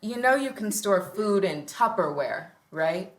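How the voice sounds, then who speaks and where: A woman's voice: medium pitch, expressive, a little slow, close to an everyday voice. A woman playing a sarcastic, picky teenage girl in a sitcom read on stage. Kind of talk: sitcom table read before live audience. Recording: roomy stage sound.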